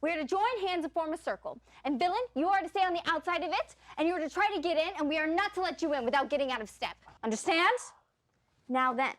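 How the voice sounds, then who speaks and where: A woman's voice: high-pitched, quick pace, a bit bright, no echo. A woman acting a bossy, jolly troupe comedian with hammy, mock-grand delivery in a stage play. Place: stage performance.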